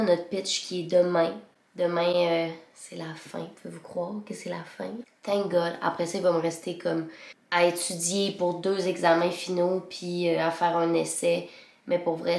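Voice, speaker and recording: A woman's voice: lowish voice, creaky, echoey room. A young Québécoise woman vlogging casually about her studies at home, chatty, slipping English words into her French, stuffed up with a cold. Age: young adult. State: congested, sore throat. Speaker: vlogger, student. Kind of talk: casual study vlog talk to camera.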